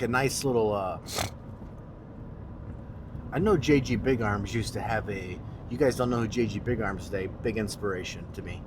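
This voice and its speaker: A man's voice: mid-range voice, noisy background. A man with a congested, nasal voice, chatting in a joking, boastful way as a fitness vlogger while driving. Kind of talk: casual vlog while driving. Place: car.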